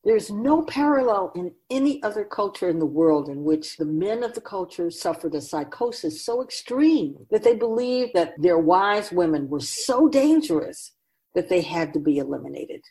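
A woman's voice: on the low side, animated, moderate pace, dark tone. A woman playing a wise, grave otherworldly keeper of souls in a radio drama, speaking in an oracular, instructive and emphatic way.

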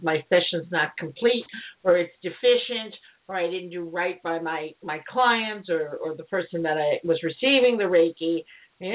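A woman hosting a radio show, chatting casually and informally with her guest. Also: lowish voice. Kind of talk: casual interview chat. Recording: narrow phone-like internet radio sound.

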